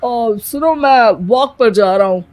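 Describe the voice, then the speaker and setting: A man acts out a flirtatious retired husband in his fifties for a family comedy story. He talks slowly with theatrical, drawn-out exclamations, sounding vain and quick to get irritable. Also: extremely high pitch. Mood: casual, evasive. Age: middle-aged.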